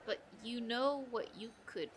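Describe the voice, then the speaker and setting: A woman's voice: on the high side, measured pace, a little hiss or hum, close to an everyday voice, low-quality recording. A woman playing a restless, persuasive young best friend, casual and coaxing, in an audio drama.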